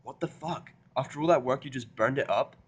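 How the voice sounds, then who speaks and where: A man's voice: mid-range voice, a little fast, slightly rough, lo-fi sound, voice pushed up, lightly acted. A stubborn, irritable human guy played by a man with a dry, sarcastic streak. He swears freely and sounds like an everyday man in a fantasy audio story. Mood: shocked.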